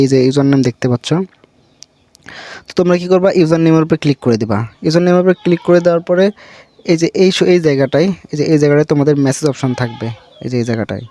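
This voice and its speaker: A man's voice: fairly high pitch, dark tone. A Bangladeshi man hosting an informal, unscripted app tutorial, repeating himself and often checking in with viewers in a familiar, brotherly way. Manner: repetitive, addresses viewers as brother, frequent check-in tags. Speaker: tutorial host.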